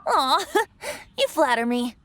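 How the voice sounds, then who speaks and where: Gentle, coy boy bard for a game: light high voice, lively lilting lines. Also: slow pace, a little hiss or hum, exaggerated voice. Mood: light, explanatory. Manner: lilting, playful, slightly theatrical. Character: youthful boyish bard god, playful, gentle, coy. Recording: music behind the voice.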